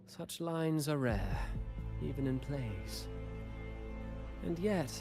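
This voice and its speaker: A man acting a sly, mocking schemer and storyteller in a video game, speaking in florid, measured, theatrical lines. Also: medium pitch, expressive. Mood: mocking deference. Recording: voice over game music.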